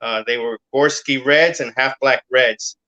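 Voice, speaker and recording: A man's voice: mid-range voice, steady tone, unhurried, pushed and bright, muffled recording. A middle-aged man from Cuba, a guest in a casual interview, talking hesitantly with many fillers.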